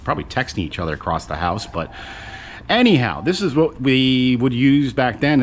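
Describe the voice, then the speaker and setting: Low-voiced man casually presenting, noisy recording. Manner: rambling, conversational, explanatory. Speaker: video host and presenter. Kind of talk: casual unscripted product demonstration.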